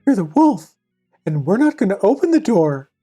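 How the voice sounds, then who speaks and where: Little goat children behind a bolted fairy-tale door, piping up high and defiant , as a woman reader plays them. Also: sing-song, moderate pace, dark tone, breathy edge, soft background music. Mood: wary, defiant. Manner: high, firm, scolding refusal. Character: seven young goat kids, wary and defiant.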